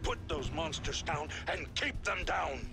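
An actor playing a hot-tempered military commander in a video game, barking urgent orders. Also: male voice, on the high side, animated, a little slow, vocal fry, over loud music. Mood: angry, urgent.

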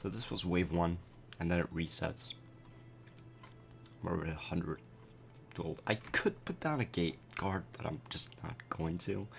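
A man's voice: deep voice, unhurried, vocal fry, long pauses, fairly bright tone, slight background noise. A young man commenting casually on his gameplay at night, his voice cracking and strained after a concert, with many fillers as he explains.

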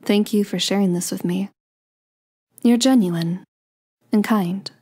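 A woman playing a playful, sweet young daughter of Cupid in an ASMR romance roleplay, coy and gently teasing. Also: mid-range voice, takes it slowly, breathy edge. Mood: tender.

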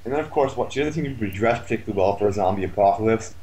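A man's voice: on the low side, expressive, rapid-fire, dark tone, low-quality recording. A man chatting casually about a video game, complaining in a sardonic, foul-mouthed way.

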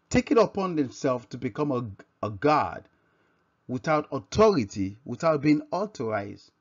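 A man with a Nigerian accent giving an informal scripture lesson as a religious teacher, halting and often repeating and rephrasing himself. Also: a little high. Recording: dull, lo-fi microphone sound.

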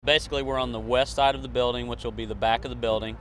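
A middle-aged man explains a site layout in a flat, mid-pitched voice. He speaks into a handheld mic outdoors, with faint vehicle noise around him. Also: very fast. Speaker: construction site guide. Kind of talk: explanatory interview answers. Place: open outdoor construction site. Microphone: handheld interview microphone.

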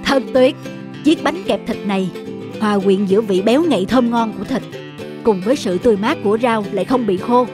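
A woman's voice: fairly high pitch, brisk, music in the background, natural voice. A woman voicing a heavyset male restaurant owner in a cartoon story. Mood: curious, pleased.

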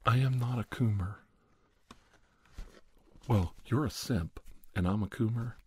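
A man in his forties performing a comedic roleplay monologue to camera in a halting, defensive, conspiratorial manner. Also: medium pitch, dark tone, slightly rough. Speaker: video host.

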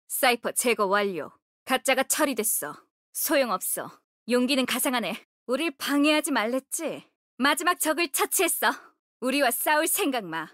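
A woman's voice: high-pitched, a bit bright, dry recording, studio quality. A woman voicing a resolute, composed young female healer and guardian in a video game, speaking firmly with a solemn, commanding manner. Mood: stern. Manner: solemn, commanding, short crisp delivery. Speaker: game character voice actor. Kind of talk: video game character voice lines.

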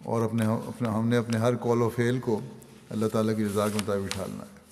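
An older man with a Pakistani Urdu accent delivering a Friday sermon, reading from notes at a podium microphone in a large mosque hall in a measured, solemn manner. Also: on the low side, level tone, darkish tone, a little hiss or hum. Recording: long reverb of a large hall.

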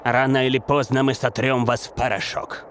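A man's voice: medium pitch, flat delivery, moderate pace, music in the background, lightly acted. A man voicing a menacing, taunting rival footballer in a dubbed cartoon.

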